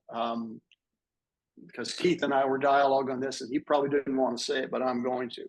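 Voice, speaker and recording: A man in his sixties with a husky voice, earnestly telling his own patient story and advising listeners. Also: a little high, rough recording. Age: 60s. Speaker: patient panelist. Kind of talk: sharing a personal story on a video panel.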